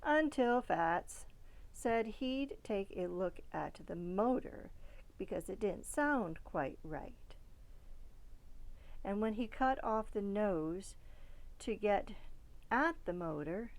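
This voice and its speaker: A woman reading a children's storybook aloud expressively, with dramatic emphasis that softens at phrase ends. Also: mid-range voice, animated, takes it slowly. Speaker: storybook reader.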